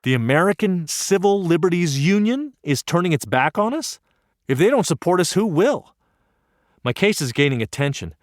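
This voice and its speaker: An actor narrating as an earnest young student, telling his story in an earnest, wry and lively way. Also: high voice, moderate pace, no room sound, studio quality. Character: earnest young Japanese American male student. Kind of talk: one-man stage play narration.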